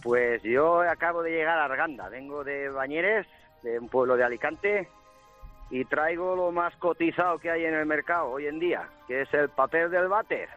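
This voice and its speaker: A man's voice: fairly high pitch, moderate pace. A man with an accent from Spain, a truck driver calling a radio show, chatting in a plain-spoken, jokey way. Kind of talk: casual phone-in chat. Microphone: phone call. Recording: dull phone line, faint music.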